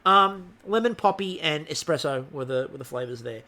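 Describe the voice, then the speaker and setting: A man's voice: a little high, expressive, sharp tone. A man co-hosting a podcast, casually and jokingly recapping a baking show and steering the talk along. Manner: brisk, jokey, steering the episode along. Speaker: podcast co-host.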